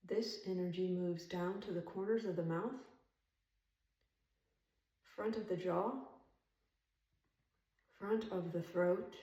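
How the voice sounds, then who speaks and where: A woman leading a guided meditation as an instructor, speaking calmly and soothingly in measured phrases with long pauses. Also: on the low side. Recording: roomy echo, distant from mic.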